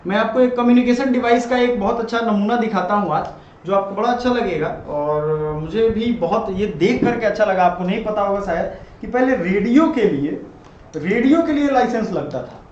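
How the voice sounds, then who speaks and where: A man's voice: high-pitched, normal speed. A man giving a conversational current-affairs lecture as a teacher, mixing in English and checking in with listeners. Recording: echoey room, muffled, loud background noise.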